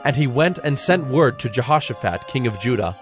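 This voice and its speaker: A man with a medium-pitched voice narrates scripture. His delivery is solemn and scripted, telling the story over background music. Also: expressive, brisk.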